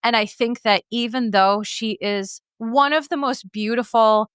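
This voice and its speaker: A woman hosting a podcast in casual chat, outspoken and animated, swearing freely. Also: on the high side, a little slow, clear, resonant tone, no echo, clean studio sound. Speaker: podcast host.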